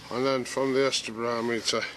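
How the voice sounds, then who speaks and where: A middle-aged man chatting casually and haltingly as he demonstrates gear, thinking aloud. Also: medium pitch, little pitch movement. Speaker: hobbyist presenter demonstrating radio gear. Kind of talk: casual hands-on demonstration chat. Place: small room at home and back garden. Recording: noisy amateur camera audio.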